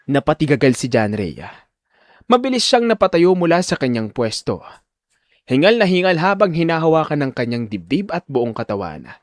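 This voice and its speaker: A man's voice: a little high, sing-song, moderate pace, dry recording, studio quality. A man narrating a scripted audio drama in a suspenseful, theatrical manner. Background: music.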